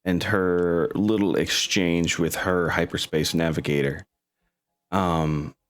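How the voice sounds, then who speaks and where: Slow, low-voiced man running a game. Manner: rambling, self-correcting. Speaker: game master. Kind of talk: casual chat running a tabletop game.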